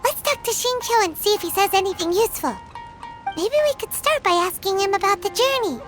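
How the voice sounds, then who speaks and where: Very high squeaky childlike fairy companion. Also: female voice, lively intonation, fairly quick, over music. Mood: scheming. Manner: refers to self in third person. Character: small childlike floating fairy companion, cheerful and nosy. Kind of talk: video game voice acting.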